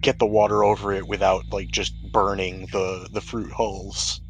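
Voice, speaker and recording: A man's voice: low-pitched, level tone, normal speed, soft background music, low-quality recording. A man speaking as game master, narrating a tabletop roleplaying game in a conversational way.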